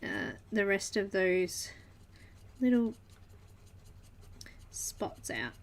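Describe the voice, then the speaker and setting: A woman's voice: medium pitch, sing-song, drawn-out words. Chatty Australian woman coloring live. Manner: chatty, giggly, thinking aloud while drawing. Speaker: art stream host. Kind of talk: live coloring tutorial chat.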